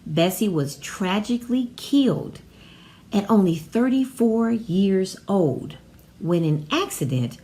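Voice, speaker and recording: An American woman presents an informal educational vlog in a slightly low voice. She speaks slowly, moving between reading aloud and talking off the cuff. Her delivery is emphatic, and she often repeats a phrase for her viewers. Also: a little mellow. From Chicago, United States. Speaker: vlog host.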